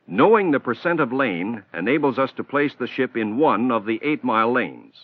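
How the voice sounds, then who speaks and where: Man, scripted training-film narrator, lively pitch, tinny narrow old soundtrack. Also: no echo. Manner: measured, instructional.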